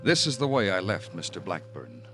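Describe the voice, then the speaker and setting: An actor playing a terse, weary American police detective narrating his case in a 1950s radio crime drama, clipped and deadpan. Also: deep voice, moderate pace. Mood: brooding, matter-of-fact. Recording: old radio recording with music.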